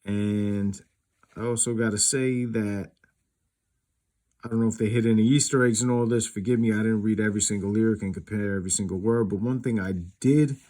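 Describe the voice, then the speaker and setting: A man's voice: lowish voice, steady tone, brisk, dark tone. A man giving a casual, unscripted comparison as a collector hosting his own video, explaining things in an informal, rambling way. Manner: informal, rambling, explanatory, peppered with you knows.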